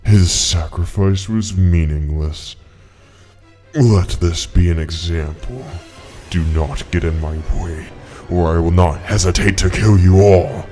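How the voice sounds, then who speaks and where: A man's voice: deep voice, slow pace, mellow, faint music behind. A man acting a cruel, murderous hedgehog monster in a fan audio drama, threatening and sneering. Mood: sinister threat.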